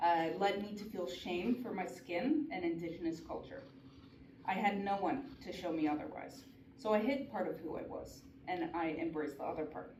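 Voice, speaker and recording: A woman's voice: on the low side, moderate pace, a little mellow. Woman speaking earnestly in echoey hall. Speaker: conference speaker. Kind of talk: prepared conference talk. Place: conference hall. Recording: echoey hall from far off, noisy.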